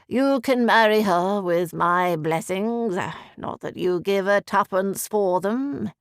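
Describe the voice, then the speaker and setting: A woman voicing a complacent older gentleman, speaking slowly in a lowered voice. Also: clear, resonant tone, dry recording, clean studio sound.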